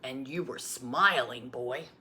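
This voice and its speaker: A woman voicing a gentle, loving old grandfather in a solemn, tender storybook read-aloud. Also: extremely low pitch, slow pace, pitched below the performer's own voice, full character voice.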